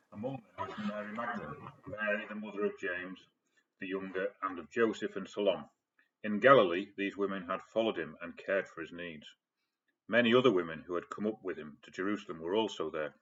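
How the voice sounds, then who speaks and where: A man narrates a dramatic scripture passage. His voice is deep and slightly husky, captured with a muffled, lo-fi sound. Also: fairly quick, fairly bright tone. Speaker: narrator of a gospel reading. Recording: dull lo-fi sound.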